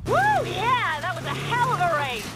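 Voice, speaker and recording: High-voiced brash thrill-seeking racer. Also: female voice, a little slow, very noisy, full character voice. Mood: exhilarated. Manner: excited, whooping, goading. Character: brash thrill-seeking female off-road racer, teasing and spirited. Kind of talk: video game cutscene acting.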